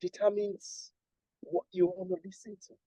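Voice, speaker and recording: A man from Nigeria with a husky voice, a guest musician talking at length in a casual interview and restarting his sentences often. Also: sits high, little pitch movement, normal speed, mellow, no room sound, low-quality recording. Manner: rambling, repeats and restarts, lists with emphasis.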